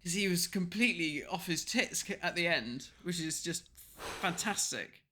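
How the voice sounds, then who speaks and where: A man with a high-pitched, strikingly bright and sharp voice co-hosts a commentary. He chats casually and colloquially, with the odd swear word. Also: expressive, moderate pace, slight background noise. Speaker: podcast co-host.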